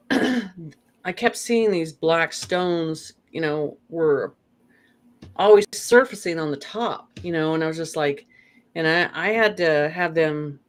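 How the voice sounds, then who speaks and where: A woman talking casually as an interview guest, telling stories in a rambling way with frequent "you know" asides. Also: deep voice. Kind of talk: casual conversational storytelling.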